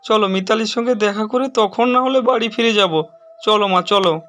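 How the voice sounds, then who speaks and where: Horror cartoon son male performer: high voice, stubborn and pleading with his mother, music underneath. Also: normal speed, fairly bright tone. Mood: reassuring, insistent. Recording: music behind the voice.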